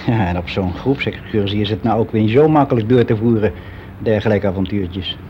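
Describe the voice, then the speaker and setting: A man's voice: lowish voice, brisk, slight background noise, lightly acted. An actor playing a fussy middle-aged man who confides in a hesitant, matter-of-fact, conversational way, as in a radio play monologue. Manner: hesitant, matter-of-fact, self-justifying, as if answering an interviewer. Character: frank, fussy middle-aged man confiding about his private life. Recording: dull lo-fi radio recording.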